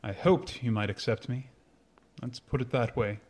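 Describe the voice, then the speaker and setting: An actor playing a proud, earnest gentleman suitor in a radio drama, speaking in a formal, measured manner. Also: mid-range voice, lightly acted. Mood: pleading devotion.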